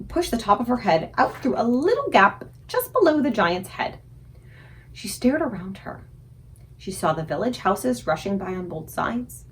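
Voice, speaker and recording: A woman reading a children's story aloud expressively, with dramatic, suspenseful emphasis and deliberate pauses. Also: medium pitch, a little hiss or hum. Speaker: librarian reading aloud for a book club.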